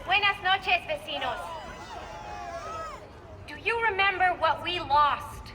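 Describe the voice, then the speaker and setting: An impassioned middle-aged woman addresses a rally in a game cinematic. Her voice is very high and firm, carrying with long reverb over a busy crowd. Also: slow pace, background noise. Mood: impassioned, resolute. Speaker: public speaker at a rally. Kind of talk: acted political speech in video game. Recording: echoey outdoor PA, distant, crowd behind.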